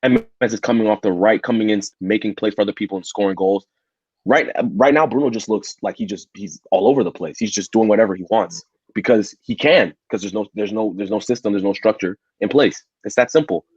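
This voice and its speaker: Blunt low-voiced man, husky and emphatic. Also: a little fast, darkish tone, no room sound. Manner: emphatic and blunt, repeats words as he builds a point. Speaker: podcast co-host. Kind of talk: casual football debate. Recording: dull, muffled audio.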